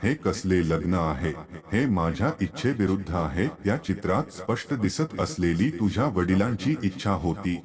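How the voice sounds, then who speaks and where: A man's voice: low-pitched, expressive, moderate pace, a crowd in the background. A man narrating a scripted first-person story as a storyteller.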